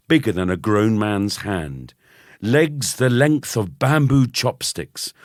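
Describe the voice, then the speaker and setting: Low, very animated male storyteller. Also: no room sound, clean studio sound. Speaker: audiobook narrator. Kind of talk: expressive scripted children's story narration.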